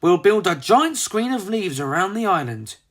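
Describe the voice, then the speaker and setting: Narrator doing anxious hen mother, storybook, urgent, firm, animated intonation. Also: mid-range voice, normal speed, voice pushed up. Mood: firm, worried. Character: protective, anxious mother hen, brave leader.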